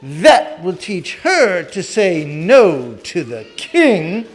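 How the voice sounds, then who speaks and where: A man's voice: high voice, huge pitch swings, breathy edge, heavily acted. A man playing a pompous, theatrical king in a live children's puppet show. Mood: indignant, imperious. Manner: theatrical, slow, emphatic delivery.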